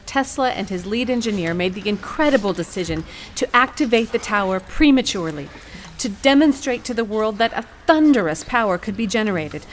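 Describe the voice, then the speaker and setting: A woman playing a determined attorney in an audio courtroom drama, speaking formally and deliberately. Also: fairly high pitch, slight background noise, lightly acted. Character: poised, determined female attorney arguing in court.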